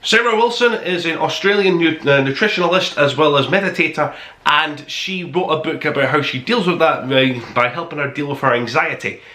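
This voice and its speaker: A man's voice: on the high side, fairly quick, bright, forceful tone. A man hosting a casual book review, talking to the viewer in an enthusiastic, rambling, conversational way. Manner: enthusiastic, effusive. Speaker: podcast host reviewing books. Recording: roomy, echoing room sound.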